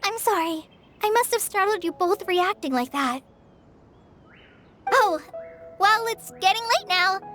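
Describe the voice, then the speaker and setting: Bright, girlish, earnest young ranger trainee. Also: extremely high pitch, expressive, unhurried, long pauses, soft background music, heavily acted. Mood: flustered. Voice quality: light, girlish voice. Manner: hesitant, polite, earnest. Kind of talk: video game voice acting.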